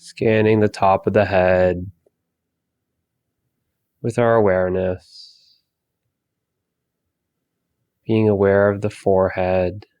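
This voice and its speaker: A man leading a guided meditation for focus, giving soft, calm body-scan instructions with long pauses. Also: low-pitched, clean tone, dry recording, muffled recording.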